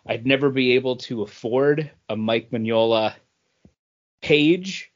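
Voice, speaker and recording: A man's voice: medium pitch, a little slow. Man chatting casually on a muffled recording. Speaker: podcast co-host. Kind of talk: casual podcast chat. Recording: dull, band-limited podcast audio.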